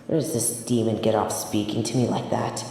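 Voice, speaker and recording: A woman's voice: rumbling low, fairly even intonation, normal speed, creaky, mellow, rough recording. A woman playing a proud, haughty fallen angel of judgement in a scripted audio roleplay, dramatic and defensive. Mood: flustered, indignant.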